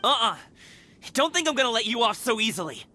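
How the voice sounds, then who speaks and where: A man's voice: very high-pitched, sing-song, fairly quick, pushed and bright, a little hiss or hum, full character voice. A man playing an earnest, dutiful young escort guard and fighter in a video game. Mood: commanding.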